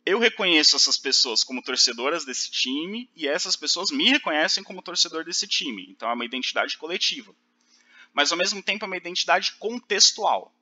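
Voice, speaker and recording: A man's voice: a little high, lively intonation, fairly quick, bright, forceful tone. A man teaching a recorded lecture, explaining step by step with fillers and check-ins.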